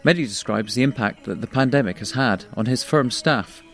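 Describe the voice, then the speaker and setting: Measured male podcast host. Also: medium pitch. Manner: measured, with restarts when speaking off the cuff. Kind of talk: scripted intro then conversational interview. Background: faint music.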